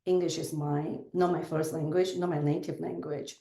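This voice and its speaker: A woman's voice: low voice, fairly even intonation, moderate pace, gravelly, dark tone, roomy sound. A woman in her forties from southern China, speaking English with a Chinese accent as an interview guest, thoughtful and full of fillers. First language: Chinese dialect. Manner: thoughtful, with frequent fillers and self-corrections. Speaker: podcast guest. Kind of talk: casual interview conversation.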